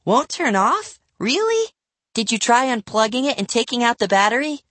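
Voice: mid-range voice, big pitch swings, moderate pace, fairly bright tone, dry recording, voice pushed up. A narrator voicing an inquisitive, breathless eight-year-old little sister in a storybook. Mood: curious, incredulous.

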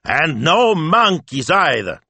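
A man voicing a grumpy, theatrical, gruffly affectionate grandfather in an audiobook story, blustering with indignant questions. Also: medium pitch, very animated, moderate pace, bright, forceful tone, no room sound, voice pushed up, heavily acted. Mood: mock outrage.

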